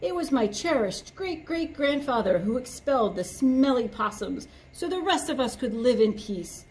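A woman's voice: a little high, very animated, moderate pace, darkish tone, voice pushed up, rough recording. A woman voicing a proud male lion king from a picture book, giving a grand, self-important speech. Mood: pompous.

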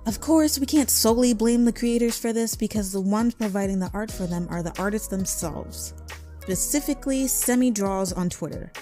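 A woman narrates a scripted video essay. Her delivery is emphatic and openly critical, and her voice sits at a middling pitch. Music plays underneath. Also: normal speed, dark tone.

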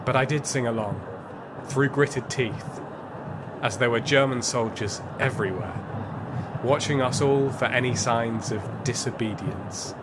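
An actor playing a reflective football fan, narrating his memories of the Nazi era in an audio play in a measured, wry way. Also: mid-range voice, heavy background noise, close to an everyday voice. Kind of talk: first-person dramatic storytelling in an audio play.

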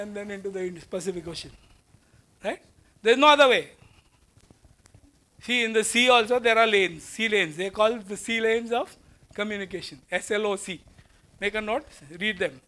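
High bright-voiced Indian man lecturing. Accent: Indian English. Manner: walks the stage gesturing while speaking. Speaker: guest lecturer. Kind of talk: lecture to students. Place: large auditorium with seated students. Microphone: lapel mic.

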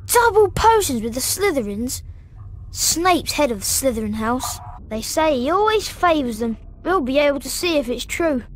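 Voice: sits high, big pitch swings, normal speed, slight background noise. A performer with a British accent playing a chatty eleven-year-old schoolboy sidekick in a video game, gossipy and eager. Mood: wary. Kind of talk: video game character acting.

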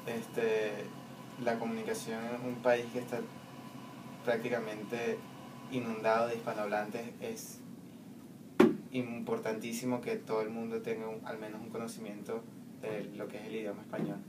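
A man's voice: fairly low pitch, monotone, normal speed. A young man answering interview questions steadily, filmed in a small classroom from a camera across the room. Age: young adult. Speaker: student interviewee. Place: small classroom with plain walls. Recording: distant mic, clear room noise.

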